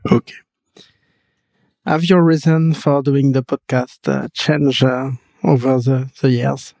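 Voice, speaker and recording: A man's voice: mid-range voice, fairly even intonation, slow pace, vocal fry, darkish tone, no room sound. A man with a French accent, French his first language, asking questions in casual English with frequent laughs and hesitant fillers. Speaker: podcast editor and co-host. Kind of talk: casual interview.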